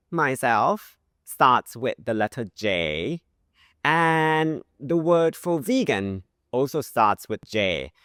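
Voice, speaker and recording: A man gives a pronunciation lesson at an unhurried pace, drawing out and repeating sample sounds. His voice is bright and clear, with a lively, wide-ranging pitch. The recording is close and dry. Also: no echo. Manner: modeling pronunciation with drawn-out sounds. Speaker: language teacher. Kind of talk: language lesson.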